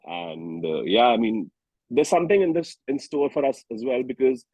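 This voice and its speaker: A man's voice: medium pitch, sing-song, moderate pace, darkish tone, slightly rough, no room sound, low-quality recording. A man in his thirties with an Indian accent, chatting casually as a musician being interviewed. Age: 30s. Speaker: singer-songwriter and musician interview guest.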